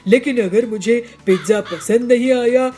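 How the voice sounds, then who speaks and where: A woman's voice: fairly high pitch, measured pace, mellow, natural voice. Woman voicing a blustering male bird bully. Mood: demanding. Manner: blustering, demanding. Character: domineering, menacing male bird tough guy.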